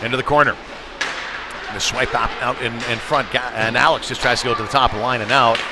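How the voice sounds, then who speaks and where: A man's voice: mid-range voice, steady tone, fast, very noisy. A man calling a live ice hockey game in an informal, conversational play-by-play style. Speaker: play-by-play sports commentator. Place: sports rink during a live game. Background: loud arena music.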